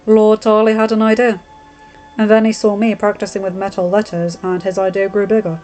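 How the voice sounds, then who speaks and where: A young working-class blacksmith, blunt and short with his words, matter-of-fact even under pressure, as a woman narrator voices him close to her own tone. He says little and means it. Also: medium pitch, brisk, soft background music, lightly acted, poor audio. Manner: terse, plain-spoken, matter-of-fact. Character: gruff young lowborn male blacksmith, reserved and blunt.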